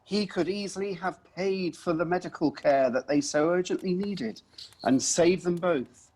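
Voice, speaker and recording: An unforgiving family father acted by a man holds forth in a radio play. His voice is husky and his delivery is emphatic and declamatory. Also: sits high, moderate pace, darkish tone, poor audio. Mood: bitter. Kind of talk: amateur radio play acting.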